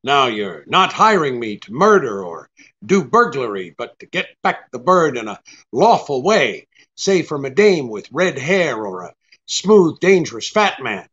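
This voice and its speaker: A man's voice: mid-range voice, big pitch swings, unhurried, a bit bright, breathy edge, highs cut off, exaggerated voice. Man playing a brusque hardboiled detective, swooping delivery. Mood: wry. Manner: brusque, sardonic. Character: tough, wisecracking, impatient male private detective. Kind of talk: hardboiled radio drama acting with narration.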